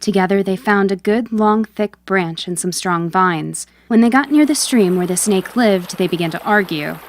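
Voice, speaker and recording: A woman hosting and narrating a scripted folktale in a clear, measured storytelling manner. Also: medium pitch, slight background noise. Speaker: host and narrator.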